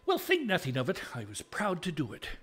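A man's voice: fairly high pitch, wildly swooping pitch, brisk, fairly bright tone, slightly rough, exaggerated voice. Man as a modest, courteous folk-tale tinker. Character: modest, helpful, polite tinker hero who plays flute.

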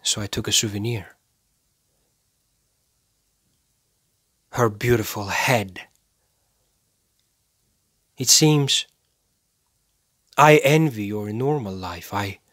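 An actor playing a cold, self-righteous serial killer in a dramatic film monologue, speaking deliberately and with menace, pausing for effect. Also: male voice, on the low side, a bit bright, slightly rough, no echo, studio quality, heavily acted. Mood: quiet menace. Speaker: actor performing a movie monologue.

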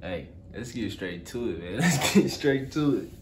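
A man's voice: medium pitch, expressive, moderate pace, background noise. A man in his twenties giving casual reaction commentary, recorded indoors in a home room. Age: 20s. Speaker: reaction video host.

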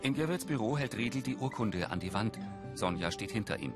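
A man delivers scripted audio description of a screen drama. His pitch is low and his intonation moves a lot. Music plays clearly behind him. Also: animated, brisk.

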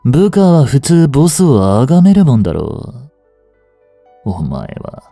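An actor playing a rough, cocky mafia boss with hidden tenderness in an intimate audio drama, gruff and teasing in a drawl. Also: male voice, medium pitch, huge pitch swings, takes it slowly, warm tone, no echo, full character voice. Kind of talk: intimate Japanese-style dramatic voice acting aimed at women listeners. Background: music.

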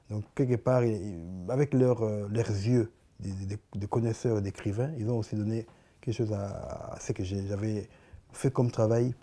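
A man in his forties with a Congolese French accent, answering interview questions in a living room, often stopping and repeating words as he searches for them. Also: on the low side. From Congo (Kinshasa). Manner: halting, many repeated words and restarts, animated hand gestures. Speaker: artist guest.

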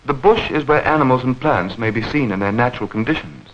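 A man narrating a 1940s educational newsreel in a measured, clearly enunciated, explanatory manner. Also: mid-range voice, expressive. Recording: dull vintage 1940s film soundtrack.

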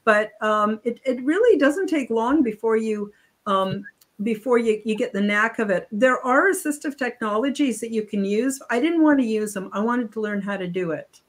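An older woman speaks as a guest on a video call, her voice sitting a little high. She rambles, restarting sentences and leaning on fillers as she explains. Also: animated, normal speed. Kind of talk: casual interview talk. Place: home room on video call.

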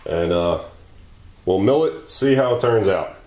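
Low-voiced man talking over his workbench. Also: expressive, a little slow, background noise. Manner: joking, exclaiming and thinking aloud while working. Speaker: maker channel host. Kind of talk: casual workshop commentary. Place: home garage workshop.

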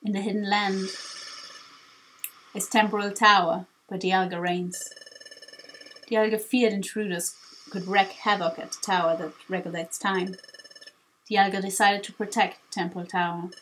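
A woman voicing a gentle, solemn sea creature who guards secrets in a video game, speaking formally and gravely. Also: medium pitch, fairly even intonation, normal speed, pauses often, slight background noise, voice pushed down, lightly acted, rough recording.

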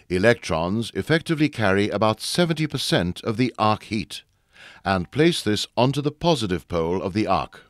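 A man reading a scripted instructional narration for a training video in a measured, formal explaining way, recorded as a close studio voice-over. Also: fairly low pitch, dry recording.

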